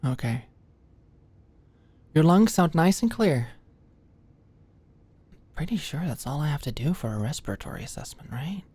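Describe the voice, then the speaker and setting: Woman playing an anxious young med student, low-voiced. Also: sing-song, normal speed, pauses often, slightly rough, exaggerated voice. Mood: careful, nervous. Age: young adult. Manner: nervous, hesitant, stammering, softly attentive. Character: anxious, awkward young female medical student, easily flustered. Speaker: ASMR roleplay performer. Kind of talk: ASMR roleplay, intimate scripted one-sided dialogue. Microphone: close studio-style mic, dry.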